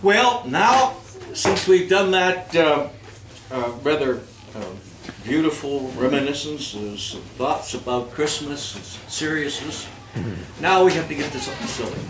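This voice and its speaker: A man's voice: fairly high pitch, expressive, measured pace. A man hosting a live holiday reading and quiz on stage, with a theatrical, jokey way of talking. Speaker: host of a community holiday reading and song quiz. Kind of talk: live stage reading and playful audience banter. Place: indoor community event before an audience. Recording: echoey room, distant mic, noisy audience.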